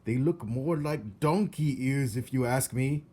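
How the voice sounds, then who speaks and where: A man's voice: mid-range voice, measured pace, warm tone, voice pushed up, natural voice. A man voicing a gossipy, critical mouse uncle from a storybook, with pointed remarks.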